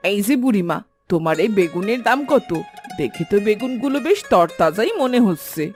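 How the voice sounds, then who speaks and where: A man's voice: high-pitched, expressive, a little fast, faint music behind. A man voicing a curious, agreeable village market customer in a fairy tale cartoon. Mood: interested. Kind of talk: animated Bengali fairy tale cartoon acting.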